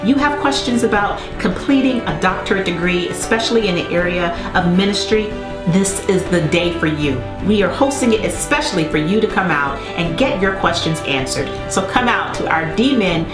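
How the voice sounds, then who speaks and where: Lively woman promoting a program. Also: on the low side, moderate pace. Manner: lively, persuasive, animated with hand gestures. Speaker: program coordinator. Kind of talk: promotional address to camera. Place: office with bookshelves, indoors. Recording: roomy office with music behind.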